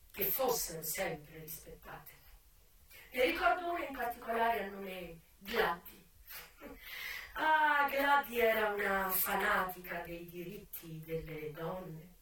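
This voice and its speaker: Echoey, bright-voiced proud brothel madam. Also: female voice, lowish voice, slight background noise. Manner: dramatic, emphatic, deliberate pauses. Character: proud, worldly American brothel madam recalling her past. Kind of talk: theatrical first-person monologue. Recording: echoey stage sound, distant mic, music behind.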